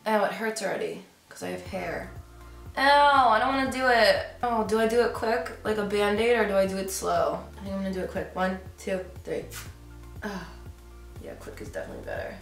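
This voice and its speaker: A woman's voice: medium pitch, animated, fairly quick, vocal fry. A young woman beauty vlogger in her twenties chatting casually on camera, stuffy from a cold, and filling her talk with "like". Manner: chatty, lots of "like", giggly. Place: bedroom with decorated shelves. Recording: roomy home room with background music.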